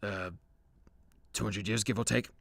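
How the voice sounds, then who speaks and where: Casting: male actor as washed-up immortal superhero, self-deprecating and hesitant, fry in the voice, dry studio radio-comedy sound. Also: lowish voice, steady tone, measured pace, a bit bright, no room sound, exaggerated voice. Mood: sheepish, apologetic. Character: weary, hard-drinking immortal Greek superhero, awkward and self-deprecating.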